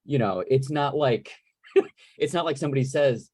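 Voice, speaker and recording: A man hosts a relaxed panel Q&A over a video call. He has a mid-pitched voice with a dry, close sound. He phrases things at length, circling around his point in an animated, informal way. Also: normal speed, slightly rough, no room sound. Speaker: moderator of a panel Q&A. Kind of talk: casual conversational interviewing.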